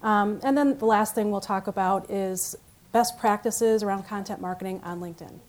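Woman whose first language is italian, giving a talk at a conference, chatty, lots of ums and restarts, mid-pitched voice. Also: moderate pace. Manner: conversational with frequent um fillers and restarts. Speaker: conference presenter. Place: conference room with audience.

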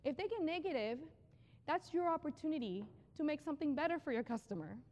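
A woman giving a live conference talk on brand storytelling, energetic and conversational, often repeating phrases for emphasis. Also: high voice, fast talker, lo-fi sound. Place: large hall with an audience. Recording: long hall reverb.

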